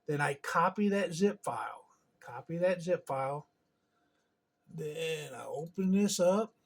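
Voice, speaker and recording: A man giving an informal step-by-step tutorial in a halting, unscripted way, thinking aloud as he goes. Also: fairly high pitch. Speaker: tutorial presenter. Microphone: camera on a tripod.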